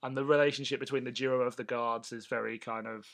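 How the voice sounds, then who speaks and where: A man with a bright, forward voice hosts a podcast. He chats informally and jokily, swearing freely as he reviews and discusses. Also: mid-range voice, steady tone, quick pace. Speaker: podcast host. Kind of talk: casual chat, review discussion.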